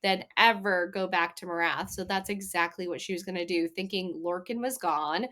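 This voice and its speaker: A woman's voice: on the low side, normal speed, crowd noise. A woman co-hosting a book club podcast, talking in an informal, gushing way and swearing freely. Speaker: podcast co-host. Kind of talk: casual book club chat with read-out chapter recap.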